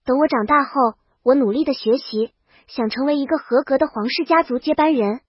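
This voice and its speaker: High-pitched woman narrating a comic tale. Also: a little fast, slightly rough, dry recording, muffled recording. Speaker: audiobook narrator. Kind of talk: first-person comedic storybook narration.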